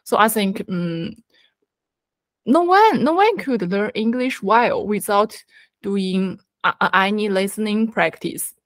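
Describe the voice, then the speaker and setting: A woman with a Chinese accent speaking English in a casual conversation, halting with many ums and restarts. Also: medium pitch, lively intonation, no echo. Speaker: guest learner.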